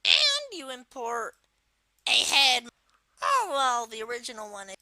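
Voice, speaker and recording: Someone putting on the voice of a young cartoon turtle villain, exaggerated and swooping, while presenting a software tutorial. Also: mid-range voice, wildly swooping pitch, unhurried, no room sound, full character voice. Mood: instructive. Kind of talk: software modding tutorial, improvised walkthrough.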